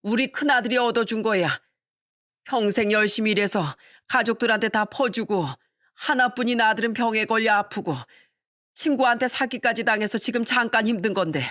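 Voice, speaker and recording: A woman's voice: fairly high pitch, a bit bright, no echo, studio quality, voice pushed up, lightly acted. A woman voicing a furious elderly mother-in-law who scolds her sons emphatically in a dramatized family story.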